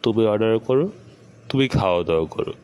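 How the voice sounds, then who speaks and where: Low-voiced, caring cartoon father played by a man. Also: animated, a little fast, lo-fi sound, lightly acted. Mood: gently persuading. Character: caring, gentle middle-aged father of a schoolboy. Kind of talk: amateur educational cartoon dialogue.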